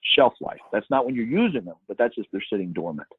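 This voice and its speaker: A man's voice: fairly low pitch, big pitch swings, fast, breathy edge, dry recording. A man answering questions as a guest expert in an informal webinar, giving emphatic advice over a phone-like connection. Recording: tinny phone-line sound, dry.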